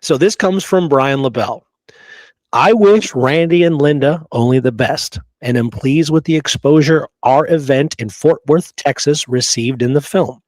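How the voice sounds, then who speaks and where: A man's voice: fairly high pitch, moderate pace, a little mellow, no room sound, low-quality recording. A man co-hosting a discussion show, reading out quotes and often checking in with "okay?" and "right?". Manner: frequent "you know" and checking "okay?", "right?". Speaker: show co-host.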